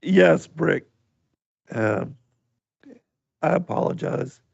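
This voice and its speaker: A man hosting a radio livestream, hoarse, with his voice going out, talking slowly and haltingly with many pauses while he reads from the chat. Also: a little high, expressive, a little mellow, dry recording, lo-fi sound. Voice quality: gravelly. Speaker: radio show host.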